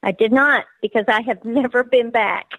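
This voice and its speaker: A woman's voice: on the high side, moderate pace, no echo, rough recording. A woman with a Texas accent, a guest author telling stories earnestly on a radio interview. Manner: earnest, excitable storytelling.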